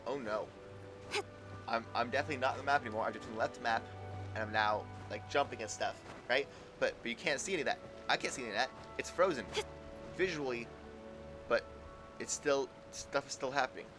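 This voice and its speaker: A man chatting casually as he livestreams a game, halting and repeating his words, with mild exclamations. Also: mid-range voice, over music. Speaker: game streamer. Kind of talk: casual livestream chat and commentary.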